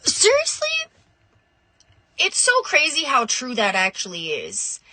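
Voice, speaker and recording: A woman rambles to her camera in a chatty vlog, filling gaps with "like" and "um". Her voice is bright and a bit high, recorded close and dry. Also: wildly swooping pitch, a little slow, dry recording. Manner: chatty, rambling, uses fillers like "like" and "um". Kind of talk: casual vlog confession.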